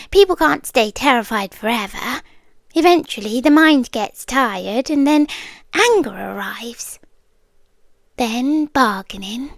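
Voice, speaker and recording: A young British woman voicing an obsessive young girlfriend who has kidnapped her partner, speaking softly and often dropping into whispers, close to the microphone in an ASMR roleplay. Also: high-pitched, no room sound, heavily acted. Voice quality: calm, intimate, unsettling. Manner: soft-spoken, slipping into whispers.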